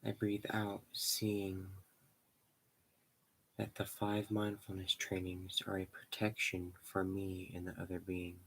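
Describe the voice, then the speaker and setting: Low, even-voiced man guiding meditation. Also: vocal fry, slight background noise, poor audio. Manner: slow, measured, pausing between short phrases. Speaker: guided meditation leader. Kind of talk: guided meditation.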